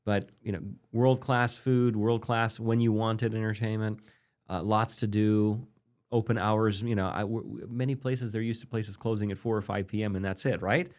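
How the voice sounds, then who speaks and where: A man's voice: fairly low pitch, steady tone, moderate pace, darkish tone. A man around forty, a native Polish speaker, interviewing casually as an interested, affirming listener.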